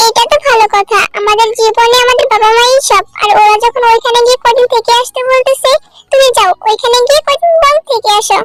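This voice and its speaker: Woman as a high-pitched, caring bird wife. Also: steady tone, a little fast, faint music behind. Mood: gently coaxing. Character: caring, firm young female bird wife.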